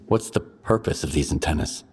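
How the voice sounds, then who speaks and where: A man's voice: extremely low pitch, moderate pace, a little mellow, a little hiss or hum. A man playing a terse, tough wasteland mercenary in a video game, matter-of-fact in manner. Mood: cool, businesslike. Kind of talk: video game cutscene acting.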